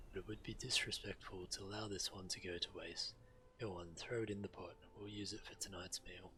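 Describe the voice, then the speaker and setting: A man's voice: low voice, bright, forceful tone, slight background noise, poor audio. A man reading video game quest text aloud as a streamer, acting out the quest givers' lines as he narrates.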